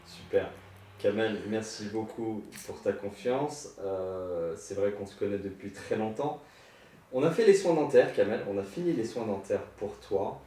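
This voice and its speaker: A man's voice: on the low side, measured pace, dark tone. A man speaking as a clinician interviewing his patient in a friendly, familiar, unscripted way, recorded in an echoey room. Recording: very echoey, distant mic, faint noise.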